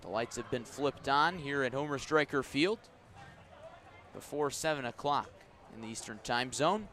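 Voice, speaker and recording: A man doing live baseball play-by-play as a radio announcer, giving measured, detailed commentary that rises on each pitch. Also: bright, forceful tone, a little hiss or hum.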